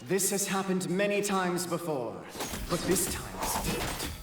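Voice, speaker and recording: An actor playing a pompous, touchy clan storyteller in a fantasy game, with flowery delivery and hall reverb. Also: male voice, high voice, moderate pace, music in the background, lightly acted. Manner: flowery, narrating.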